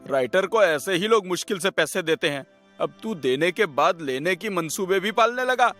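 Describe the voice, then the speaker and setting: A man's voice: high-pitched, lively intonation, normal speed, fairly bright tone, soft background music. Man playing a laid-back, casual writer. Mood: casual, apologetic. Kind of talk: conversational animated story acting.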